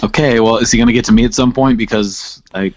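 A man's voice: medium pitch, quick pace. Male podcast co-host, slightly dull audio. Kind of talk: joking podcast banter.

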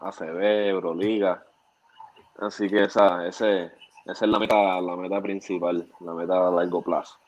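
A young man, a basketball player being interviewed, answering in a low-key, agreeable way. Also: dull audio.

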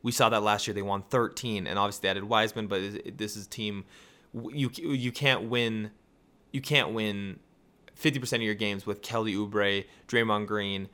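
Raspy, tired young man talking sports. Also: on the low side, normal speed. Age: young adult. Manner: rambling, with restarts and excited rhetorical questions. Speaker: podcast host. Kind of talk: casual sports podcast chat.

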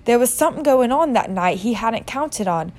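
A woman voicing a huge, warm-hearted bearded male giant with rough, rural speech. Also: on the high side, lively intonation, normal speed, natural voice. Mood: confiding.

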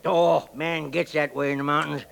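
An actor playing a folksy old gold prospector with a gravelly American frontier drawl in a radio western. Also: male voice, on the high side, steady tone, moderate pace, fairly bright tone. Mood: folksy, cheerful. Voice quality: creaky, gravelly. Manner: folksy, rustic dialect. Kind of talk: 1950s radio western acting. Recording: noisy vintage 1950s radio recording.

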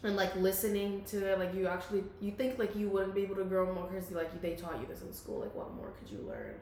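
A woman's voice: medium pitch, very animated, fast talker, mellow. Chatty young woman in a very echoey room. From Philippines. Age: 20s. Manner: chatty, many "like" fillers, laughs often. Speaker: guest, student and local model. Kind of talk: casual chat. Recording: very echoey room, faint hiss.

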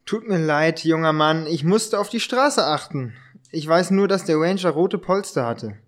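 A man acts out a polite but agitated writer in a detective radio play. His voice sits very high for a man. He speaks haltingly and trips over his words, as in an amateur production. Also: lively intonation, close to an everyday voice. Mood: earnest. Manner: halting, stumbling over lines.

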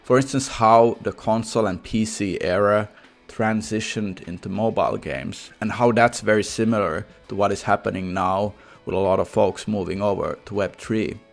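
Male podcast host, low-pitched with noticeable vocal fry, presenting to listeners. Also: normal speed, faint music behind. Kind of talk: podcast intro, sponsor read and interview.

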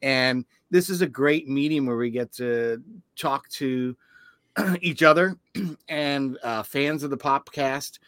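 A man's voice: medium pitch, takes it slowly, fairly bright tone, dry recording. A man from Canada co-hosting a casual podcast, rambling and enthusiastic, still worn out from being sick. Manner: rambling, enthusiastic, many fillers. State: tired, recovering from illness. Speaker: podcast co-host.

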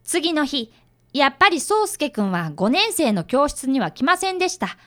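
A woman's voice: high-pitched, very animated, normal speed, fairly bright tone. A woman playing an earnest, polite fifth-grade boy who is an apprentice detective, acting and narrating in a radio drama. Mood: eager narrating.

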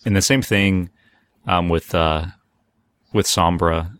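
A man's voice: low-pitched, fairly even intonation, slow, a bit bright, smooth, no room sound. A man hosting a podcast, steering a casual conversation among several people. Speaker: podcast host steering the discussion. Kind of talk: casual chat.

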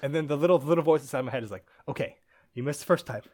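A man's voice: on the high side, racing, darkish tone. A young man with an American accent, a podcast guest telling a story in casual chat and laughing often as he goes.

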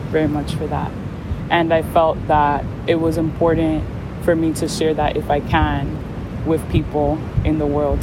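A woman's voice: sits low, noisy background. A woman hosting an interview, earnest and effusive in her thanks to her guest. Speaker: host. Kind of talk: interview host.

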